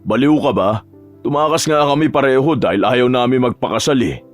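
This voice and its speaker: A man's voice: medium pitch, a little fast, lightly acted. Man acting a cocky young playboy over music. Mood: dismissive. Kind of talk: Tagalog romance audio drama acting. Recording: music bed behind the voice.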